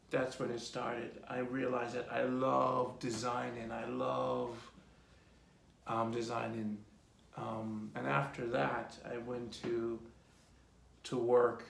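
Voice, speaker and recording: A man in his forties, an artist telling his life story in a relaxed, rambling way during an interview. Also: mid-range voice, vocal fry, a little hiss or hum. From Jamaica. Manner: rambling lists, relaxed and reflective. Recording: echoey room.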